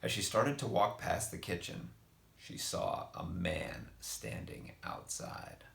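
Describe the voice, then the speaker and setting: Guy with a really low creaky voice reading a spooky story out loud, echoey room. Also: animated, takes it slowly. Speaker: reader of a children's spooky story. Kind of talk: expressive scripted story narration. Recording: roomy, echoing voice.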